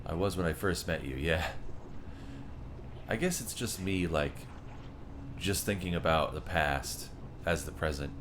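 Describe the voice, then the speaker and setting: A man's voice: sits low, measured pace, long pauses, noisy background. A man in his thirties chatting casually with viewers on a live game stream and reading their messages aloud. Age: 30s. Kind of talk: casual live-stream chat while gaming.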